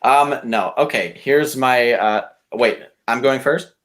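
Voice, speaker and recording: A man chatting informally as a podcast contestant pitching movie ideas. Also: slow pace, fairly bright tone. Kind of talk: casual banter and pitching.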